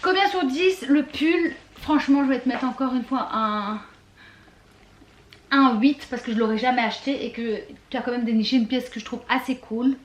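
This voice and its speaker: A woman in her twenties chatting casually to camera as a vlogger, animated and teasing. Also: a little high, normal speed. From Belgium. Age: 20s. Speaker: vlogger presenting a clothing haul. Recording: roomy echo, faint background noise.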